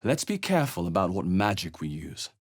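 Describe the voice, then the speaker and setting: A man voicing a wary, observant fantasy adventurer hero in a video game, with a husky voice and a wry, measured delivery. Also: deep voice, wildly swooping pitch, full character voice. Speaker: video game player character. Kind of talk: video game voice lines, short reactive remarks.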